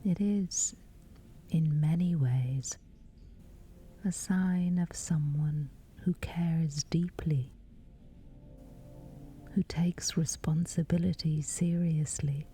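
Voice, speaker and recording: Very slow, hushed woman guiding sleep meditation. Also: sits low, slight background noise. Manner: hushed, whispery, unhurried delivery with long pauses. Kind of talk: guided sleep meditation, scripted narration.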